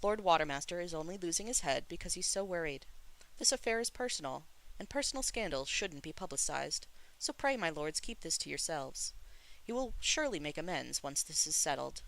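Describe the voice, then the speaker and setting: A reader as a composed, creaky-voiced female heavenly official. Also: medium pitch, animated, fairly quick, a bit bright, poor audio. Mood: polite, placating. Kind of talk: dramatic audiobook character reading.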